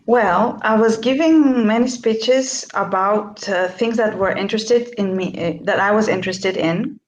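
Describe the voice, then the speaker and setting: A woman from Greece talks about her workshop on a video call, searching for words and restarting her sentences. Her voice sits at a middle pitch. The room around her is noticeably echoey. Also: a little slow, highs cut off. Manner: hesitant, many fillers and restarts. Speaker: workshop speaker. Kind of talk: online interview.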